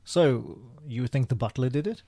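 An actor playing a dry, wry British gentleman spy with an understated manner in a radio comedy-mystery. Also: mid-range voice, normal speed, no room sound, natural voice. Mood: musing.

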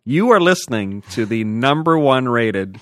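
A man's voice: medium pitch, big pitch swings, unhurried, dry recording. Man, podcast co-host, mid-range voice, casual banter, mock-serious dry humor.

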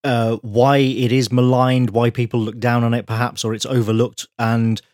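A man hosting a podcast, reading a brisk scripted intro and then interviewing his guest. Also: mid-range voice, level tone, darkish tone, no echo, clean studio sound. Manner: brisk, articulate presenter. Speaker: podcast host. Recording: breathy voice over faint guitar music.

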